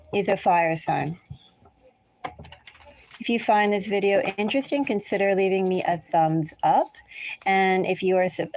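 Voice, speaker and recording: An American woman hosts a tarot livestream in a casual, chatty way, drifting into asides as she talks to viewers. Her voice sits at a middle pitch, and the sound is close and dry. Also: a little mellow, no room sound. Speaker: tarot reader hosting a livestream.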